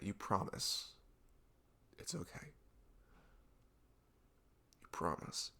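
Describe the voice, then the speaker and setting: Troubled male best friend for ASMR roleplay, acted by a man: deep, creaky, hesitant, apologetic, stammering. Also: fairly bright tone, exaggerated voice. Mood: apologetic, reluctant. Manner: hesitant, halting, stammering, sighing. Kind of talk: intimate ASMR audio roleplay.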